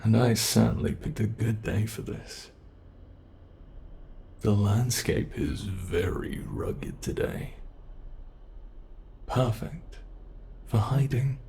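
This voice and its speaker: A man voicing a sinister, playful monster in an ASMR horror roleplay, gravelly and sometimes breathy, taunting with theatrical, unhurried menace. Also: fairly low pitch, dark tone, a little hiss or hum. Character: sinister playful male monster hunting its captive.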